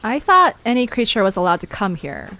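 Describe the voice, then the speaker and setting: A woman's voice: mid-range voice, sing-song, brisk, pushed and bright. A woman playing an earnest, determined young leader of a group of friends in an audio drama. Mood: earnest, pleading. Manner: earnest, casual.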